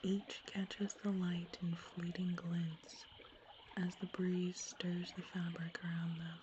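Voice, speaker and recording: A woman whispers a bedtime sleep story in a low, breathy hush. Her delivery is very even and slow, made to soothe, with steady ambient noise behind her. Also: dull audio. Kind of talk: soft-spoken whispered ASMR sleep story narration.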